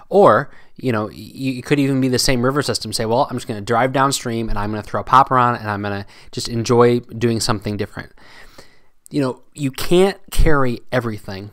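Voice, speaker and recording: A man's voice: medium pitch, fairly bright tone. A middle-aged man hosting a solo podcast, talking loosely and conversationally with frequent restarts. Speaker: podcast host.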